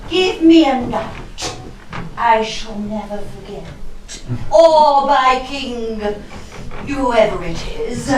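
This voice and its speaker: Imperious lustful older aunt, slow and echoey. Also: female voice, a little high. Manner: theatrical, commanding, suggestive. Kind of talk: theatrical rhymed period comedy acting. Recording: very echoey room, distant mic, faint noise.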